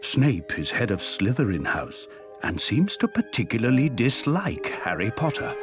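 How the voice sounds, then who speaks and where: A man playing the storytelling narrator of a wizard school video game, reading scripted narration in a husky voice. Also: mid-range voice, sing-song, moderate pace, lightly acted. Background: faint game music.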